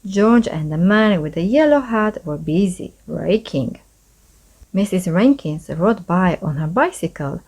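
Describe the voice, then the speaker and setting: A woman reading a children's story aloud in a measured, expressive storytelling manner. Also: lowish voice, breathy edge. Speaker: storybook reader. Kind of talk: children's read-aloud narration.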